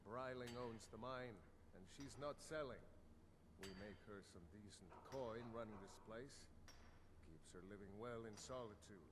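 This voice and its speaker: A man's voice: mid-range voice, moderate pace, lightly acted. An actor playing a blunt, wry mine foreman in a fantasy video game. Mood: blunt, defensive. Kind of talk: scripted video game dialogue. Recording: clearly noisy game ambience behind.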